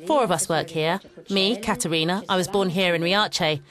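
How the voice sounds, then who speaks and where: A woman reading a translated interview as a voice-over, calm and plain. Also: fairly low pitch, normal speed.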